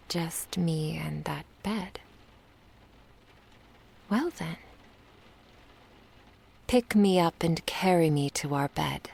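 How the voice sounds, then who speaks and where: A woman playing a lovestruck, lonely witch in an ASMR roleplay, soft-spoken, whispery and seductive in a tender, intimate way. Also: deep voice, heavily acted. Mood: sultry, playful. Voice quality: breathy, whispery. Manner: slow, tender, intimate delivery.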